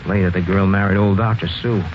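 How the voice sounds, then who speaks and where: A man's voice: deep voice, fairly even intonation, fairly quick, slight background noise, close to an everyday voice. An actor playing an earnest young man who narrates a murder mystery and acts in it, in 1940s radio style. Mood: casual, friendly. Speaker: narrator and lead character. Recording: muffled 1940s radio, loud music behind.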